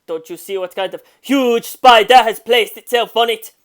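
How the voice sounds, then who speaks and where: A woman's voice: fairly high pitch, normal speed, pitched above the performer's own voice, lightly acted. Woman acting a male crime boss, arrogant, indignant, sneering, volatile storybook villain, swinging from mutters to outbursts. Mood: furious contempt. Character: arrogant, hot-tempered male gangster boss, scheming villain.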